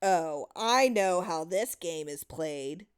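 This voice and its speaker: A woman voicing an excited, gleeful know-it-all child in a story, with a singsong manner. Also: lowish voice, slow, clear, resonant tone. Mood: gleeful, boastful. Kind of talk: dramatic story reading.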